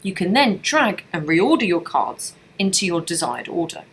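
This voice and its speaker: A woman narrating a scripted software tutorial as a trainer, explaining things step by step in an instructional way. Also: lowish voice, big pitch swings, normal speed, slightly rough.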